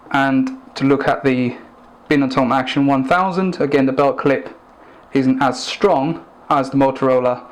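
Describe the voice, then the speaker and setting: A man giving a product review and demonstration in a measured, plain-spoken, instructive way. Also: mid-range voice, slight background noise.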